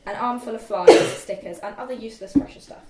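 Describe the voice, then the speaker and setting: A woman's voice: on the high side, brisk, a little mellow. A young woman reading screenplay directions aloud as narrator at a group table read. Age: young adult. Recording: distant echoey room, faint noise.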